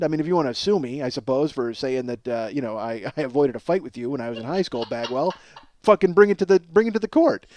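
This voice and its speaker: A man hosting a comedy podcast in a casual, rambling, profane and excitable ranting monologue. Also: on the high side. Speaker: podcast host.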